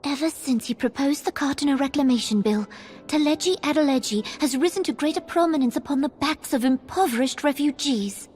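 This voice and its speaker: An actress playing a small, earnest young female ruler in a fantasy game, speaking in measured, formal phrases. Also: sits high, a little mellow, natural voice. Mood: grave, resolute. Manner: regal, measured, archaic phrasing.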